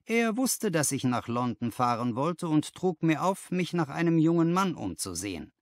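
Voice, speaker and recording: Man acting a brisk, animated, flattering financial agent. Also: on the high side, no room sound, clean studio sound, pitched above the performer's own voice. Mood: confiding, persuasive. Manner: emphatic, exclaiming, self-assured. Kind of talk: dramatized audiobook dialogue.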